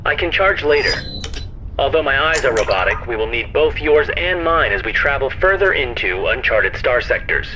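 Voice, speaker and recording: A small robot crewmate from a science fiction audio drama. It speaks formally and takes everything literally, dutiful to the last, with a lively, swinging pitch. Clear noise and clicks of a spacecraft sit behind it. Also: male voice, moderate pace, lo-fi sound.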